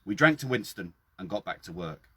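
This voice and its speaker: A man with a husky voice reading his own story aloud outdoors, in a dramatic storytelling style. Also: sits low, sing-song, brisk, sharp tone, no room sound. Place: outdoors by a well.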